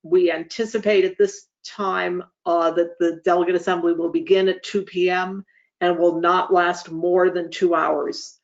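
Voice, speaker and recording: A speaker hosting an online meeting, giving semi-formal updates in measured, formal wording with frequent hesitant pauses. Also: medium pitch, level tone. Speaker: association leader hosting a meeting. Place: online webinar call. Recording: dull, lo-fi online meeting audio.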